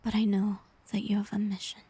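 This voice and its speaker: A woman playing a tender, heartbroken bard facing a former lover in an intimate fantasy ASMR roleplay, speaking softly and wistfully in a breathy near-whisper. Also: mid-range voice, completely flat delivery. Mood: loving, resigned. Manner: slow, gentle, wistful delivery with pauses.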